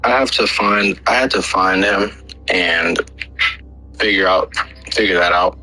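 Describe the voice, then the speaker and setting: A man's voice: deep voice, unhurried, sharp tone, soft background music, poor audio. A man who is a new guest on a gaming show, easygoing and following along. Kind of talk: casual livestream chat.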